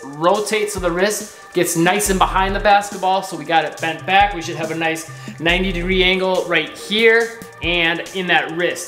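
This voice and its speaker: A man's voice: high-pitched, a bit bright. Guy in his 30s walking thru basketball drills from his couch, roomy sound, bit of music behind. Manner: upbeat, demonstrating drills with a ball while seated. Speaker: basketball coach. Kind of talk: instructional drill demo. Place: living room, seated on couch. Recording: echoey room with faint music.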